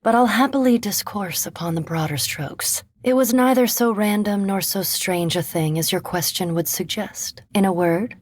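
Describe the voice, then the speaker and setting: A woman voicing a centuries-old vampire scholar in a video game, speaking in an erudite, measured, formal way with composed curiosity and dry superiority. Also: medium pitch, lightly acted. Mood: composed, pleasant. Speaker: animancy researcher and ship companion in a fantasy video game. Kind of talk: video game character dialogue.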